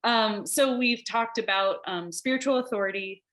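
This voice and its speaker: A woman's voice: mid-range voice, moderate pace, fairly bright tone. A woman who is a guest on a talk show, speaking thoughtfully and working through her points in an orderly way. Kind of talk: casual conversational interview.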